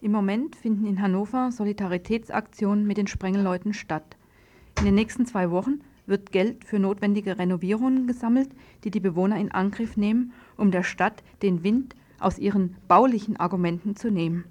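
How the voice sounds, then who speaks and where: A woman's voice: medium pitch, fairly quick, mellow. A woman working as a radio reporter, reading scripted news narration.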